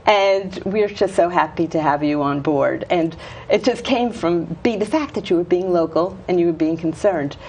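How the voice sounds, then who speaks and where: A woman's voice: lowish voice, moderate pace, darkish tone, breathy edge, low-quality recording. A woman hosting a local talk show, interviewing her guest with an eager, gushing manner. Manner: enthusiastic, gushing. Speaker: host. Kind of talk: casual interview chat.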